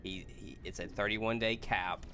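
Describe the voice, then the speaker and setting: A man in a casual group chat, explaining a story haltingly with self-corrections. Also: fairly low pitch, steady tone, bright, forceful tone, slight background noise. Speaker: podcast host.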